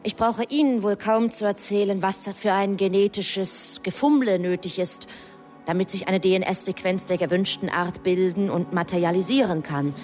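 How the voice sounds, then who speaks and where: An actress playing an efficient, deferential angel in a radio drama, reporting in a precise, matter-of-fact, slightly pointed way. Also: female voice, medium pitch, moderate pace, a little mellow, lightly acted. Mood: businesslike. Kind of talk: German radio drama acting. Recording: music behind the voice.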